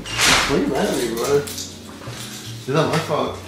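A man's voice: medium pitch, slow pace, creaky, very echoey, recorded from a distance, loud music behind the voice. A man talking offhandedly from across the room at home. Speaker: vlogger's boyfriend.

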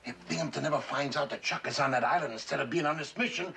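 An actor playing a brash, excitable navy skipper who barks orders in quick bursts. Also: male voice, a little high, vocal fry, distant mic. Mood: urgent. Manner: brash and rapid-fire, barking orders. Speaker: boat skipper. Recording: old noisy TV soundtrack.

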